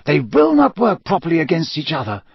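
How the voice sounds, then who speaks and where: A male narrator puts on an elderly wizard headmaster for a storybook reading. The voice is raised high, slow and measured, weary yet grave and wise. The recording is slightly dull and husky. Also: huge pitch swings, no echo, exaggerated voice. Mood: explaining. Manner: slow, measured, solemn delivery.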